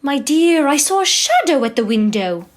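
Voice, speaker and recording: A woman acting a gentle, anxious Edwardian wife and mother in an audio drama, soothing and expressive. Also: sits high, moderate pace. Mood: anxious, hushed.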